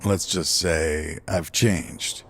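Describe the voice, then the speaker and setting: Game role: aging ex-investigator, deep voice, slow delivery, weary and full of regret. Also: male voice, fairly even intonation, breathy edge, heavily acted. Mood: weary, regretful. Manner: world-weary, sighing, confessional. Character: aging, remorseful, weary former investigator, a grieving father. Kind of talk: video game voice acting.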